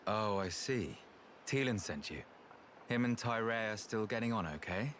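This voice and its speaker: An actor playing a calm, reasonable and slightly weary researcher in a video game, speaking in an unhurried, thoughtful way. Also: male voice, on the low side, slight background noise. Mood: relaxed. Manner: unhurried, thoughtful, plain-spoken. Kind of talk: video game cutscene acting. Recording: game music behind the voice.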